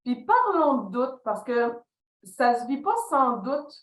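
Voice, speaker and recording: A woman's voice: high voice, slow. A middle-aged woman with a Québécois French accent, a guest telling stories about her career and acting out remembered dialogue. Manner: animated storytelling, acts out quoted dialogue. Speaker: podcast guest, leadership consultant. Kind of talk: reflective interview talk. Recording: echoey room.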